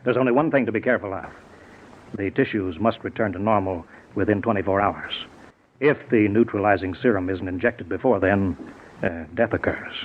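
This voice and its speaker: A man's voice: fairly low pitch, fairly quick, close to an everyday voice. An actor playing an arrogant, resentful inventor drunk on his own power in a 1940s radio drama, insistent and gloating. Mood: cocky, insistent. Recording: tinny, noisy 1940s radio recording.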